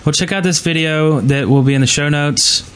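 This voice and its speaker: A man's voice: on the high side, normal speed, fairly bright tone, smooth. A man hosting a gaming podcast in an informal, conversational style. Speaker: podcast host. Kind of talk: casual chat about gaming.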